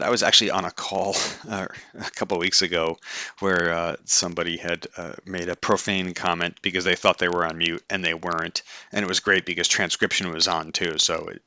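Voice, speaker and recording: A man's voice: deep voice, bright, forceful tone, no echo, clean studio sound. A man hosting a light-hearted explainer in a conversational, informal way, with casual asides. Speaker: host. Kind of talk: light-hearted explainer, semi-scripted talk.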